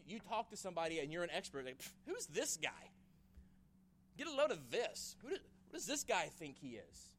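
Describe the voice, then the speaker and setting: A man's voice: high voice, slight background noise. Joking man preaching a sermon. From Memphis, Tennessee. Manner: conversational, joking, lively rises on questions. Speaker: guest preacher, church planter.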